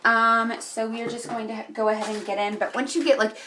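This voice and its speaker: A woman's voice: fairly high pitch, little pitch movement, a little fast, crowd noise. A young woman who is losing her voice chats her way through a casual makeup tutorial, with lots of ums and likes. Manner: rambling, chatty, many ums and likes. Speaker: beauty vlogger.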